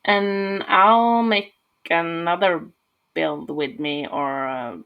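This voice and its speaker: A woman's voice: on the low side, takes it slowly, clear, resonant tone, highs cut off. A woman from Norway with a Norwegian accent, talking casually and hesitantly through a game build with many fillers. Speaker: gaming channel host.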